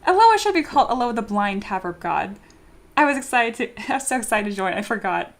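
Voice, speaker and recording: A young woman streaming live and chatting with viewers in a bubbly, excitable way, laughing often and reading chat messages aloud. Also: a little high, quick pace. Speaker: streamer, 3D sculptor. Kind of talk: casual livestream chat with viewers.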